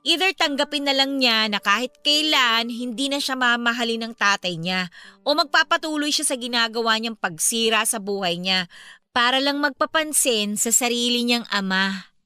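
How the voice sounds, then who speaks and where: A woman's voice: on the high side, moderate pace, clean tone, no room sound. An actress playing a chatty, candid young woman with a Filipino accent, confiding as a sympathetic friend in a radio drama. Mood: exasperated, concerned. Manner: expressive, conversational, emphatic. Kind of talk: Tagalog radio drama dialogue. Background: music under the voice.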